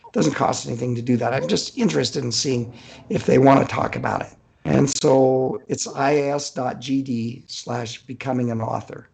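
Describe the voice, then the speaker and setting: A man's voice: mid-range voice, moderate pace, creaky, a little mellow, husky. An older man chatting casually as an author guest on a podcast, hesitant and rambling as he thinks aloud. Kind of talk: casual unscripted interview chat.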